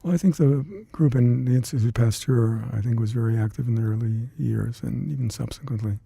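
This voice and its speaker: An older man with Hungarian as his first language, recalling past work in an oral history interview, halting and reflective with many fillers and restarts. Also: mid-range voice, gravelly, mellow, breathy edge, dry recording. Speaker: interviewee, retired scientist.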